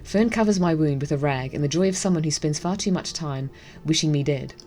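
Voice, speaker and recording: A woman's voice: sits low, a little fast, mellow, faint music behind. A woman hosting a gaming video, casually commenting and reading the story text aloud. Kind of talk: casual game commentary and read-aloud narration.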